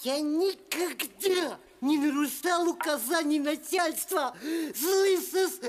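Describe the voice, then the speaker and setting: A woman voicing an odd, obedient, melodramatic islander in an animated film, with a plaintive, theatrical delivery. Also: sits high, takes it slowly, background noise. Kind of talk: animated film acting, partly sung.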